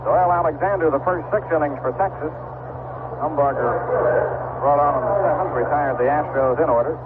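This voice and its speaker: A man calling baseball play-by-play on live radio in a measured, descriptive way with conversational asides. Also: on the high side, phone-quality sound. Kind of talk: live radio sports commentary. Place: outdoor ballpark broadcast. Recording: noisy vintage 1970s AM radio broadcast.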